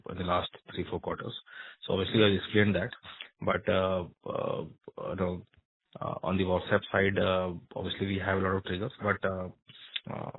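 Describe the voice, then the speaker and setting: Hesitant husky man on a phone line. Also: low voice, dry recording. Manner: hesitant, heavy "you know" filler, broken phrasing. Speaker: analyst asking questions. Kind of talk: halting earnings call questioning. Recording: thin phone-like narrow band line.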